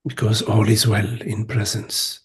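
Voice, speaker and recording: Man, guided meditation, very slow pace, breathy husky tone, gentle, spacious pauses. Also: lowish voice, level tone. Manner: unhurried, gentle, with long pauses between short phrases. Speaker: meditation teacher. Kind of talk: guided meditation, spiritual talk.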